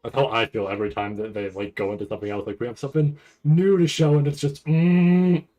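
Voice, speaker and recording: A man giving casual live reaction commentary, nervous and rambling, halting and repeating his words as he goes. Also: mid-range voice, little pitch movement, mellow, dull audio. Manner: anxious, nervous anticipation. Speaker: anime commentator reacting to a livestream event.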